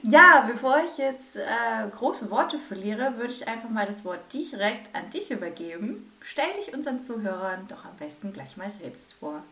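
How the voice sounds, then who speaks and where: A woman's voice: on the high side, sing-song, normal speed, few pauses, distant mic. Chatty woman podcast host, roomy sound. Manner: warm, gushing, laughs often. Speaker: podcast host and wedding speaker. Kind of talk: casual podcast chat. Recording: roomy echo with faint music.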